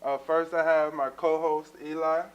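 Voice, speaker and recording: Young guy hosting a church talk show, bit high voice, lots of ums. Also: level tone, normal speed, dull audio. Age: 20s. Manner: earnest, many fillers. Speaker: talk show host and minister.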